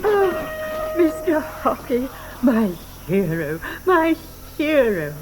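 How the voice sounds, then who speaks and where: A doting middle-aged widow in an audio drama. Her voice is high and very slow, tender and singsong, swooping up and down as she coos. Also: big pitch swings, music in the background, heavily acted, low-quality recording. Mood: swooning. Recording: faint hiss on old cassette drama.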